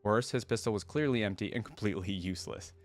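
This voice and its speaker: A man's voice: fairly low pitch, fast talker. A man hosting a reaction video, reading a story aloud and commenting casually as he goes.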